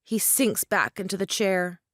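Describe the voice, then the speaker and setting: A woman's voice: mid-range voice, fairly even intonation, unhurried, a bit bright, no echo, studio quality. A woman narrator reading scripted stage directions for a play.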